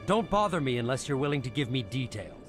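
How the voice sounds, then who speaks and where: A man plays a curt, dismissive security officer in a sci-fi game. His voice is medium in pitch and impatient. Music is prominent behind him. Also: big pitch swings, fast, natural voice. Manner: curt, skeptical. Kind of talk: video game character dialogue.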